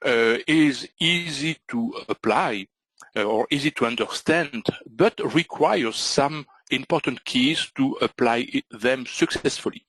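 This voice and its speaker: A man from Belgium with a Belgian French accent, presenting a trading webinar in halting English with many "uh" and "so" fillers. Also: medium pitch, fairly bright tone, husky, no room sound, rough recording. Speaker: trading instructor.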